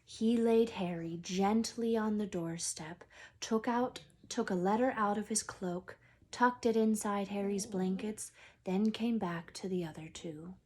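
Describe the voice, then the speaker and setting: Female teacher, storybook read-aloud for kids: unhurried, husky, expressive, hushed for effect. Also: mid-range voice. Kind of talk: expressive storybook narration for children.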